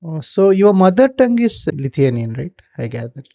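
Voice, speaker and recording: Host voice, warm and dark, plain direct questions. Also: medium pitch, very animated, normal speed. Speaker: podcast host. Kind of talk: interviewer asking questions.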